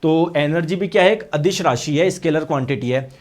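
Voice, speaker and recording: A male teacher with a high voice and a hoarse throat, giving a lively science lecture. He poses questions and answers them himself, and he gives every key term twice, once in Hindi and once in English. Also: fairly quick. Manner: asks and answers his own questions; addresses students as friends. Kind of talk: online exam-prep class.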